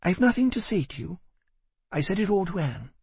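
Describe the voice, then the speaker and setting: A proud young woman in an audiobook, clipped and dismissive, her voice lifted well above normal by a man reading the part. A husky, gravelly edge runs under it, and the sound stays dry and close. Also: high-pitched, very animated, brisk, darkish tone, no echo, voice pushed up, heavily acted. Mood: cold. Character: cold, weary young woman, firm and proud.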